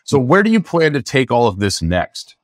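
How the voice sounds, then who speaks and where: A man's voice: medium pitch, sing-song, normal speed, no room sound, clean studio sound. A man in his forties hosting an interview over a video call, often repeating words as he starts his questions. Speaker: show host. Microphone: video call webcam.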